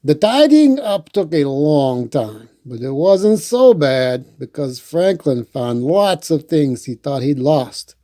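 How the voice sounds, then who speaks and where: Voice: mid-range voice, big pitch swings, takes it slowly, few pauses, dark tone. A storytime host reading a picture book aloud and adding playful, rambling, chatty asides.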